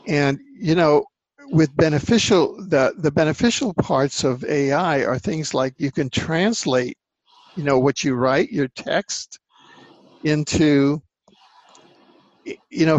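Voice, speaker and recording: A man talking earnestly in a casual conversation, halting and rambling as he explains things. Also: on the high side, no echo. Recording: dull lo-fi dry sound.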